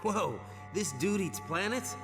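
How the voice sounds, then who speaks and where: Lively wisecracking young superhero. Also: male voice, sits high, takes it slowly, over music, full character voice. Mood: cheeky. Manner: quippy, flippant. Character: wisecracking young male superhero, cheeky and excitable.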